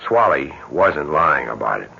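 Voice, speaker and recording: A man's voice: very deep voice, very animated, measured pace, gravelly, pushed and bright, breathy edge, heavily acted. An actor playing a concerned, level-headed male friend in a radio mystery drama, speaking in an earnest, practical, conversational way. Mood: frank. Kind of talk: old-time radio mystery drama acting. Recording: dull, noisy old radio recording.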